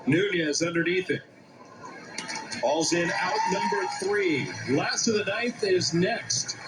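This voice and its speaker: A man does live baseball play-by-play. His voice sits a little higher than usual for a man, and loud music runs behind his commentary. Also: slow pace, poor audio.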